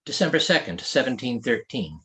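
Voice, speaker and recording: A man narrating a story as an author reading his own novel, in an expressive storytelling delivery. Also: medium pitch, brisk. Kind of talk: scripted audiobook narration.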